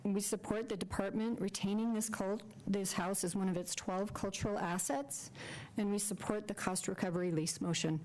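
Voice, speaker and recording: A woman's voice: mid-range voice, moderate pace, slight background noise, rough recording. Woman reading a formal public comment. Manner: reads a prepared statement, steady and formal. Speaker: public commenter, nonprofit director. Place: city council meeting chamber.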